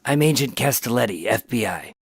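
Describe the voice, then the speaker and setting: A man's voice: mid-range voice, expressive, a little fast, vocal fry, husky, dry recording. A man playing a weary undercover FBI agent and narrator in a scripted radio drama. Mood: businesslike. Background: prominent dramatic music.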